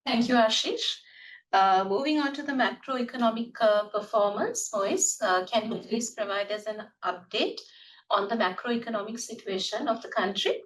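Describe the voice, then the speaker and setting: Female moderator, formal and polite, higher voice, set back from the mic. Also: normal speed, slight background noise. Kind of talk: scripted corporate hosting. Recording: recorded from a distance.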